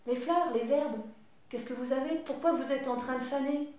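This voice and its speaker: A bright, eager young boy, as a woman storyteller puts him on, firing off questions with a raised voice. It rings in a very echoey room. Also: high-pitched, moderate pace, far from the mic, close to an everyday voice. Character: lively, curious young farm boy.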